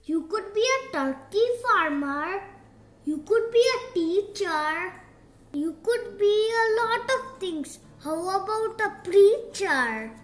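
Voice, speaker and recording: Very high-pitched young girl reading rhymes slowly. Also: lively intonation. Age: child. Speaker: young reader of picture books. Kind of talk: read-aloud storytime narration of rhyming verse. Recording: roomy echo, music playing behind. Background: music.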